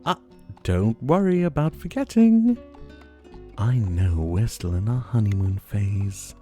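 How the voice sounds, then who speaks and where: Man playing a slow, teasing yandere dictator, swooping pitch. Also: animated, warm tone, soft background music. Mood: flirtatious, possessive. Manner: teasing, theatrical, drawn-out purring delivery. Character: flirtatious, possessive yandere dictator, menacing and playfully theatrical. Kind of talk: roleplay ASMR acting.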